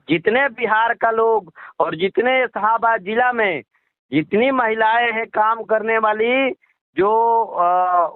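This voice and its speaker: High-pitched indignant man on muffled phone line. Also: no echo. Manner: indignant, repetitive, rhetorical questioning. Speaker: political activist being interviewed. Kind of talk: heated political tirade in a phone interview. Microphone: phone call. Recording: muffled lo-fi phone call recording.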